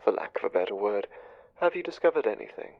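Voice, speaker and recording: A conniving male angel who runs spies , acted by a man, low and gravelly in an audio drama. He gives orders with measured condescension and deliberate menace, his delivery swinging widely in pitch. The recording is dull and close. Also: sing-song, dry recording. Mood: calculating. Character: conniving, smooth, commanding spymaster angel, male. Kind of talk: audio drama roleplay, one side of a conversation.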